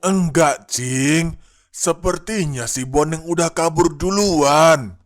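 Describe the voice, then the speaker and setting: A man voicing a concerned, firm but frail elderly cleric in a cartoon, with deliberate, polite speech. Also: on the high side. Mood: resigned. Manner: deliberate, polite, pious exclamations. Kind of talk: animated cartoon dialogue.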